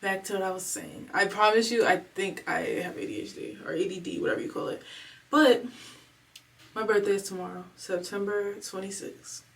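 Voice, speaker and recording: A woman's voice: medium pitch, steady tone, moderate pace. A woman in her twenties talking casually and candidly in a home vlog, rambling and slangy, emotional and fighting back tears. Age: 20s. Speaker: vlogger. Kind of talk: casual confessional vlog talk. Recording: voice a bit far from the mic.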